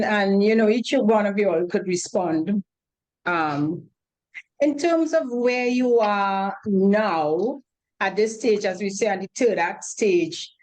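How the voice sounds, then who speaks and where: A woman's voice: mid-range voice, darkish tone, low-quality recording. A woman co-hosting a talk show, putting questions to her guests conversationally. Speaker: co-host. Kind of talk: casual chat.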